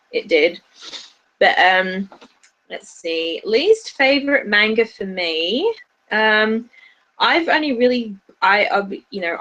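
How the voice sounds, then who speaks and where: A woman's voice: lowish voice, a bit bright, rough recording. A woman with a British-tinged Australian accent, chatting casually on a livestream as co-host, rambling with many restarts. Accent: mixed British and Australian. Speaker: YouTube anime reviewer, livestream co-host.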